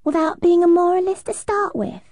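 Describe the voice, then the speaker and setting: A poised young film star with a quick, teasing tongue, as a male narrator puts her on, pitched up high in a soft, mellow tone. She fires back her retorts in a storybook reading. The sound is close and dry, with no room around it. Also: lively intonation, no room sound. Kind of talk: audiobook character voice.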